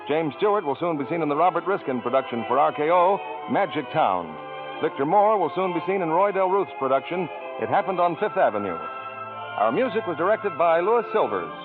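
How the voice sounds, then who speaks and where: A man announcing on a 1940s radio broadcast with a brisk, emphatic cadence. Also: fairly high pitch, narrow, tinny audio.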